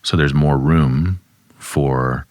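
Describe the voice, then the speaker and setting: A man, a somatic therapist, calmly explaining and demonstrating grounding exercises at his desk. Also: very deep voice, very slow, dry recording, studio quality. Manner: calm, instructive, speaks while demonstrating.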